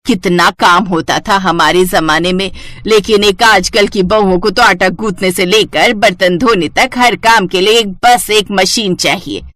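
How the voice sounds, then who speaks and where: A woman's voice: mid-range voice, a little slow, a bit bright, lightly acted. A woman voicing a scolding, old-fashioned mother-in-law in a family cartoon, nagging and disapproving. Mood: indignant scolding. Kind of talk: cartoon story voice acting.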